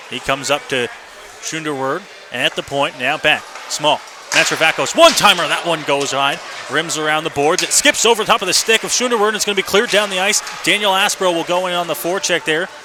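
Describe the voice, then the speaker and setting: A man's voice: on the high side, brisk. A man doing live radio play-by-play of a hockey game as the announcer. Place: indoor ice rink. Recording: noisy live arena radio broadcast. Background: loud rink ambience and noise.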